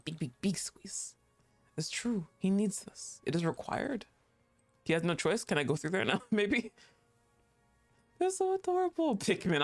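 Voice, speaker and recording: Playful game streamer with lively, swooping pitch. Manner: playful, reacts aloud, chatting with viewers. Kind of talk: casual livestream gameplay commentary.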